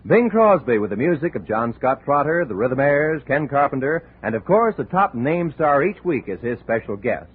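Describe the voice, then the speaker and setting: An American man works as a 1950s radio announcer, delivering promotional copy with sweeping intonation. His voice is husky, and the old broadcast sound is thin and phone-like. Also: mid-range voice, big pitch swings, normal speed, few pauses.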